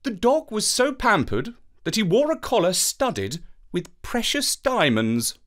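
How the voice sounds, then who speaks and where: A proud Victorian gentleman detective in a children's storybook, acted by a man. The voice is raised and swings widely in pitch, with formal, precise and emphatic phrasing. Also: wildly swooping pitch, unhurried, exaggerated voice. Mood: matter-of-fact.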